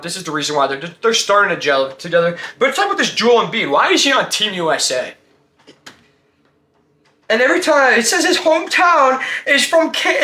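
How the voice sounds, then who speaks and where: Man, sports show host, sharp bright tone, slangy, opinionated, rhetorical questions, noticeably echoey recording. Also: very high voice. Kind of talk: casual, opinionated sports commentary.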